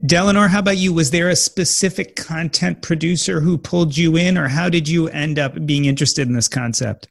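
A man's voice: a little high, a little fast, gravelly, hardly any pauses. A man co-hosting a podcast, interviewing guests with direct follow-up questions. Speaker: podcast co-host.

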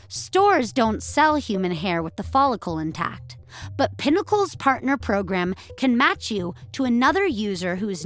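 A woman voicing a coaxing, instructive fitness app assistant in an audio drama, matter-of-fact in manner. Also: a little high.

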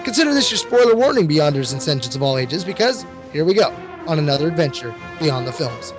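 A hoarse, scratchy-voiced man hosting a podcast with a showy, announcer-style scripted delivery. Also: a little high, very animated, fast talker. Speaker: podcast host. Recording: dull lo-fi audio with music behind.

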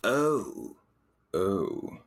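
An actor playing a rambling, deadpan male storyteller telling absurd family history in a comedic audio drama, with deliberate dramatic pauses. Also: low-pitched, vocal fry, exaggerated voice. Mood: musing.

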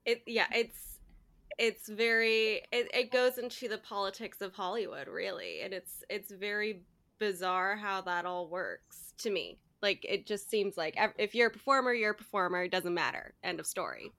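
A woman hosting an interview in a casual, enthusiastic way, quick to affirm her guest. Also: mid-range voice, sharp tone. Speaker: interview host.

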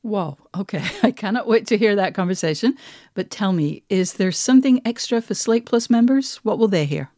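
Female podcast host, mid-range pitch, conversational presenting, dry studio-tight sound over faint music. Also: expressive, moderate pace, warm tone, no echo.

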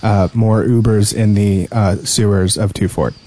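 A man's voice: fairly low pitch, steady tone, unhurried, mellow. A man co-hosting a podcast, chatting casually with sarcastic, needling remarks. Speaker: podcast co-host. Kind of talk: casual group podcast chat.

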